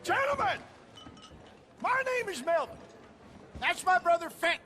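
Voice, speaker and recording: A man's voice: very high-pitched, big pitch swings, a little slow, heavily acted. An actor playing a scheming male conman posing as a traveling advertising man, with a theatrical, coaxing manner, over game music. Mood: showy. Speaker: con artist.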